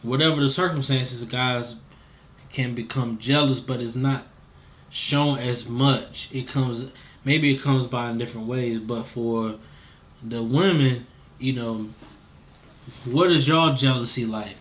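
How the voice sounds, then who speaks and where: A man's voice: mid-range voice, unhurried, a bit bright. An American man interviewing in a casual, laid-back conversational way, slangy and checking in with the listener.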